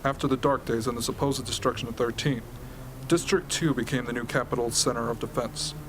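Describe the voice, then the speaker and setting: A man's voice: mid-range voice, steady tone, brisk, lightly acted. Explanatory military briefer, man acting, faint noise. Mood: serious, explanatory. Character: serious, informative male military briefer. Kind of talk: amateur radio drama acting, expository briefing. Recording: hobby recording with ambient noise.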